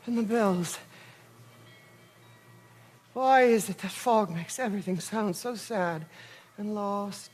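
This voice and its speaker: An actress performs a haunted mother clinging to denial, her voice high-pitched and bright. She swings from desperate pleading to dreamy, faraway musing. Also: slight background noise. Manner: emotional, pleading, veering to denial. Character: anguished mother, morphine-addicted, fragile, evasive. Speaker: actor. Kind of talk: stage drama acting.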